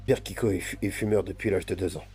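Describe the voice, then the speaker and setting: A man's voice: deep voice, steady tone, fast, dark tone, natural voice. A man voicing a doddery old parish priest in a game role-play, mock-solemn and theatrical, swearing in the middle of formal blessings. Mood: calm, confiding. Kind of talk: improvised online role-play in a video game. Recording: music playing behind the voice.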